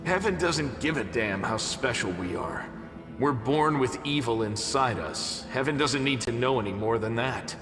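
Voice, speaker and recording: A man's voice: medium pitch, lively intonation, moderate pace, lightly acted. Man acting an earnest young cave dweller, echoing. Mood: reproachful. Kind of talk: video game voice acting. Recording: echoey hall reverb over music. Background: music.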